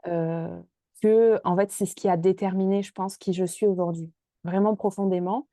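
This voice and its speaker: A woman's voice: mid-range voice, fairly even intonation, moderate pace, warm tone, no room sound, studio quality. A woman in her twenties from Marseille, talking hesitantly with many fillers as a podcast guest. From Marseille, France. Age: 20s. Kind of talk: casual interview chat.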